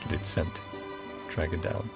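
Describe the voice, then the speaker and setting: A man giving casual let's play commentary, thinking aloud and reading game text out, often with a chuckle. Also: a little slow. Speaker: game streamer. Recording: game music behind voice. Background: video game music.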